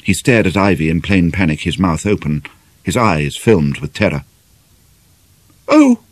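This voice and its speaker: A man's voice: sits low, moderate pace. A man with a British accent reading a novel aloud as a dramatic audiobook narrator.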